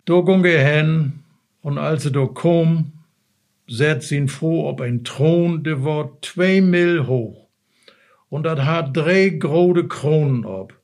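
A man with a Low German accent, a native Low German speaker, reading a fairy tale aloud slowly and haltingly. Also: a little mellow. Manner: slow, halting and stumbling. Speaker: guest reader.

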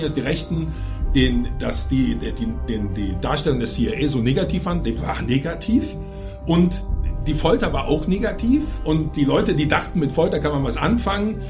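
A man with a slightly high voice argues his view as a film critic in a review discussion. He is insistent and emphatic, pressing each point. Music plays behind him.

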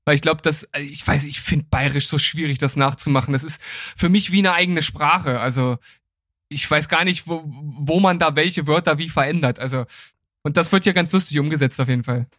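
A man's voice: a little high, brisk, a bit bright, no room sound, clean studio sound. A man hosting a casual film chat, easygoing and hesitant with fillers. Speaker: host.

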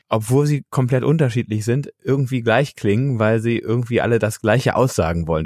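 Man, thirties, from Germany, low voice, relaxed, rambling, dry recording. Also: dry recording. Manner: relaxed, rambling with many fillers. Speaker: interviewer and band musician. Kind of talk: casual interview chat.

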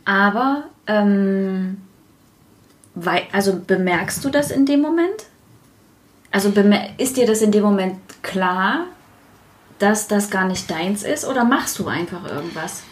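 A woman with a mid-pitched voice hosts a casual podcast. She talks informally and playfully, as if chatting with friends. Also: expressive, a little slow. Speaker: podcast host. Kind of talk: casual chat. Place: kitchen.

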